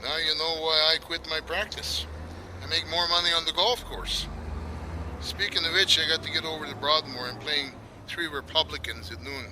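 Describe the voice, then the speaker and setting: Male actor as folksy older physician, colloquial, teasing, slightly high voice, radio drama, noticeable background noise. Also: fairly even intonation, moderate pace, lightly acted. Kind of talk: radio detective drama acting.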